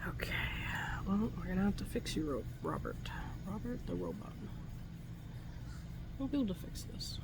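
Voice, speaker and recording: A woman whispering breathily in a soft, soothing, halting way as she improvises an ASMR roleplay as a gentle scientist. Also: mid-range voice, wildly swooping pitch. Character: gentle scientist tending a robot. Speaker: ASMR performer.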